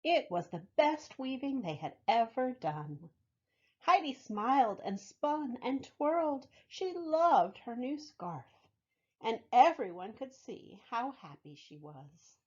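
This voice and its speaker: A woman reading a picture book aloud for young children, with expressive, deliberate phrasing, as a library storytime reader. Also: a little high, slightly rough, muffled recording.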